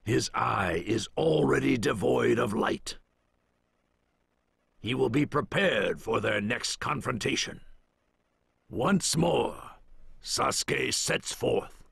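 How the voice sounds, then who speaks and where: An actor playing a solemn narrator, reading dramatic scripted narration for an anime game in a grave, portentous manner. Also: male voice, medium pitch, slow pace, creaky, long pauses, fairly bright tone, husky, no echo, clean studio sound, exaggerated voice. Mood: grave, foreboding. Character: solemn, foreboding male storyteller narrator.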